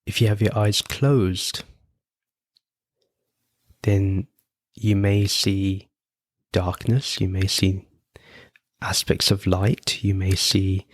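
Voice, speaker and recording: A man leading a guided meditation as host, giving gentle, unhurried instructions with frequent pauses. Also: lowish voice, no echo, clean studio sound. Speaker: podcast host guiding meditation.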